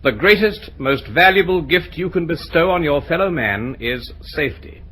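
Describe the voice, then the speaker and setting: A man reading a formal, earnest, measured announcement as an old-time radio announcer. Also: a little high, lively intonation, bright, forceful tone, slight background noise.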